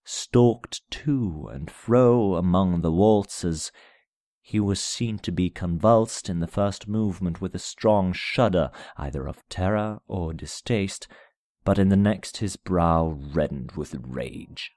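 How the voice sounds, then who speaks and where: A man narrating a gothic tale as a dramatic reading, in a solemn, measured manner with deliberate phrasing. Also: low-pitched, expressive, warm tone, no echo, clean studio sound. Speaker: amateur audiobook narrator.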